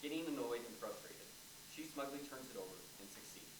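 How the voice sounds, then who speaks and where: A man's voice: mid-range voice, animated, a little fast. A man reading stage directions aloud as scripted narration for a play. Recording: echoey noisy room, far from mic.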